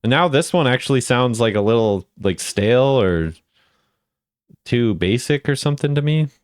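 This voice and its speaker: A man's voice: medium pitch, lively intonation, normal speed, no echo, clean studio sound. A man giving casual chatty commentary as a video creator, often repeating phrases for emphasis. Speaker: video creator ranking game voice lines.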